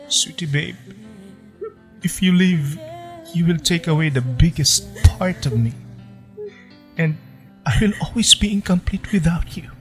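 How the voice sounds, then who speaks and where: A man's voice: a little high, slow pace, pauses often. An actor playing a devoted, sentimental young male lover in a radio drama, with an earnest way of talking. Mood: pleading, heartbroken. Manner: teasing endearments, pleading and sentimental. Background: background music.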